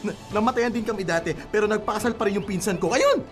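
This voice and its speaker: A man's voice: sits high, fast talker, a bit bright, soft background music. A man with a Filipino accent playing a practical, accommodating young groom-to-be in a radio drama, questioning and pleading. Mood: exasperated, pleading.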